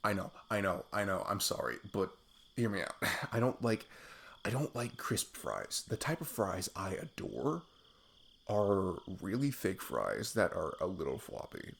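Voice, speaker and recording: Low husky guy acting as your sweet goofy best friend on a date, rambly asmr roleplay, pitch wanders all over. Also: sing-song, measured pace, a bit bright, full character voice. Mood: playful, casual. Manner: rambling, playful, self-deprecating chuckles. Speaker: audio roleplay performer. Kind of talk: intimate ASMR roleplay, improvised casual chat.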